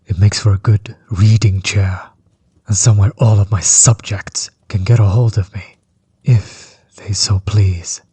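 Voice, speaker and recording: Male actor as smug tyrant overlord, audio roleplay: low, heavily husky, close and dry, theatrically mocking. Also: warm tone, no echo, exaggerated voice. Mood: smug, musing. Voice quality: husky, breathy, often dropping toward a whisper. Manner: mocking, condescending, drawn-out theatrical delivery. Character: smug, bitter male villain overlord, grudge against old companion. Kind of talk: scripted roleplay audio drama monologue.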